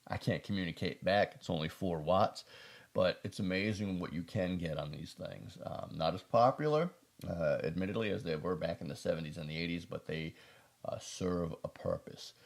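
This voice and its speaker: Casual male gear reviewer, low-pitched with a creaky edge, folksy and unscripted. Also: moderate pace. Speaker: product reviewer.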